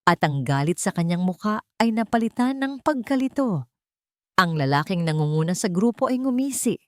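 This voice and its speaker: A woman narrating a dramatic scripted story in a deliberate, suspenseful way. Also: medium pitch, no room sound, clean studio sound.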